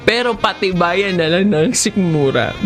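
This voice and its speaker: A woman with a Filipino accent narrating a comedic first-person story in an expressive, giggly, conversational way. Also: lowish voice.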